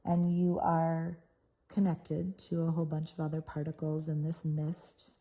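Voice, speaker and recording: A woman leading a guided visualization in a soothing, unhurried manner, leaving pauses between short phrases. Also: low voice, monotone, warm tone. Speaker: guided meditation host. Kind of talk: guided meditation, soft guided visualization.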